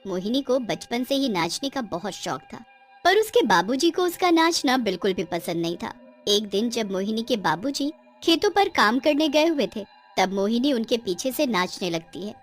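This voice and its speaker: A woman's voice: fairly high pitch, big pitch swings, normal speed, faint music behind. A woman narrating a scripted cartoon story in a dramatic storytelling style.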